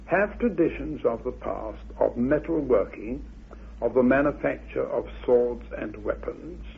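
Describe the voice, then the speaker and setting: A man explaining myth and history in a deliberate, halting, scholarly way on a muffled old radio recording. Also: medium pitch, creaky, slight background noise. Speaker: researcher expounding theories about Atlantis.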